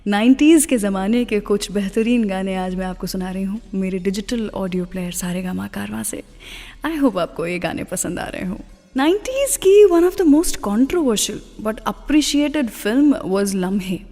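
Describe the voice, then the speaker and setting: A woman's voice: mid-range voice, animated, a little slow, warm tone. Indian woman radio host in long reverb. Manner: conversational storytelling, mixing Hindi with English phrases. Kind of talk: scripted radio presenting with film trivia between songs. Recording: long reverb, music underneath.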